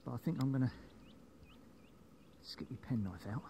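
A man's voice: mid-range voice, lively intonation, normal speed, dark tone. A man casually narrating a foraging vlog outdoors on a handheld camera, rambling and half talking to himself. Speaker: vlogger and forager. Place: outdoors in a grassy field with trees. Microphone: handheld phone or camera. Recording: very noisy outdoor handheld recording, handling clicks.

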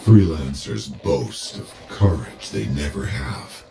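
Very deep, menacing warlord villain. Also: male voice, big pitch swings, creaky, reverberant, noisy background, full character voice. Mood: contemptuous, gloating. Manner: slow, deliberate, coldly polite menace. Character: menacing, composed male armored warlord villain.

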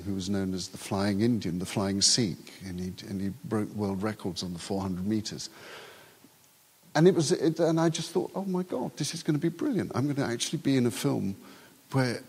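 A man's voice: lowish voice, darkish tone, slightly rough, in a large hall. An older male actor whose first language is Urdu, talking reflectively in a live stage interview and often restarting his sentences. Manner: reflective, halting with many restarts. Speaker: actor guest.

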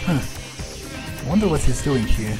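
A gamer talks in character while playing, casual and muttering. The delivery is hesitant, like thinking aloud, with game music clearly behind. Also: mid-range voice, very animated, normal speed. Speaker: gameplay streamer playing in character. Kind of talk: in-character roleplay commentary.

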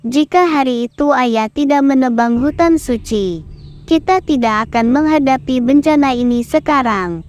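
An actress playing a gentle young princess in a dubbed cartoon, speaking in a pleading, sorrowful way. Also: female voice, high-pitched, moderate pace, muffled recording, natural voice.

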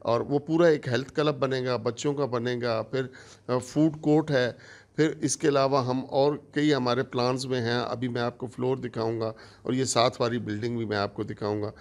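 Middle-aged man, gravelly, steady formal delivery on a handheld mic. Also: mid-range voice, normal speed. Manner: steady, listing items one by one. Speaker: company operations manager. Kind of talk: formal introductory speech. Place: conference room.

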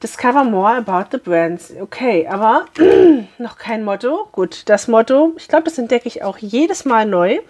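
Hoarse, chatty woman vlogger. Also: on the high side, expressive, unhurried, a little mellow. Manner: chatty, cheerful, talks straight to viewers. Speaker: beauty box unboxing vlogger. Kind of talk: chatty unboxing vlog. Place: small room indoors.

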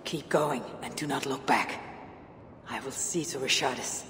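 An actor playing a blunt, steady warrior who speaks tersely. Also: male voice, mid-range voice, measured pace, slight background noise. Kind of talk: video game cutscene acting.

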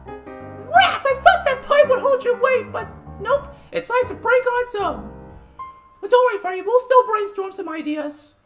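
A woman's voice: very high voice, animated, normal speed, faint music behind. A woman voicing a scatterbrained, well-meaning animatronic chicken in an amateur cartoon skit, eager and earnest.

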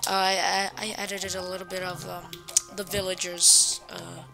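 A woman's voice: fairly low pitch, flat delivery, normal speed, gravelly, over music. A young woman hosting casual gameplay commentary, talking to viewers in a self-deprecating way. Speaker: gaming video host.

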